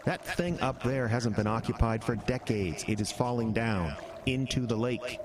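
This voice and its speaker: An actor playing a blunt, sarcastic government bureaucrat in a radio drama, with storm effects behind. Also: male voice, medium pitch, normal speed, noisy background, lightly acted. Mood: argumentative. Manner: sarcastic, argumentative.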